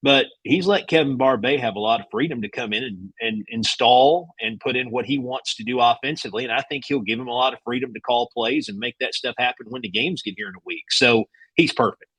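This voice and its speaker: Bright-voiced man telling sports stories. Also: mid-range voice, a little fast, few pauses, husky, dry recording, low-quality recording. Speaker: radio play-by-play broadcaster, guest. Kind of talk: casual sports talk and storytelling.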